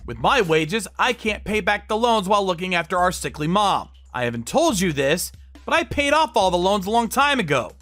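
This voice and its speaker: A man's voice: sits high, sing-song, normal speed, fairly bright tone, no room sound, soft background music, pitched above the performer's own voice. A man playing an indignant, blunt older brother, a protective factory worker, in a dramatized story. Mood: defiant. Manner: blunt, scolding.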